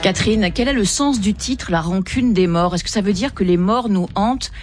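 A woman radio host asking a columnist brisk questions and moving between segments. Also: mid-range voice, soft background music. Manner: brisk, conversational questions and segues. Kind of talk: radio hosting.

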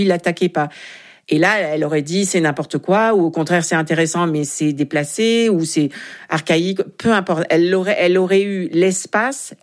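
A woman speaking as an expert guest in a radio interview, explaining deliberately and listing points one by one. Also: fairly low pitch.

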